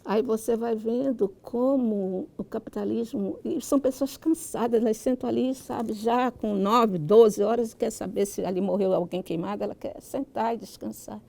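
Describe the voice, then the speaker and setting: A Brazilian woman speaking reflectively and haltingly at a public talk, often checking in with short rhetorical questions. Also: on the high side, creaky, warm tone. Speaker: guest author.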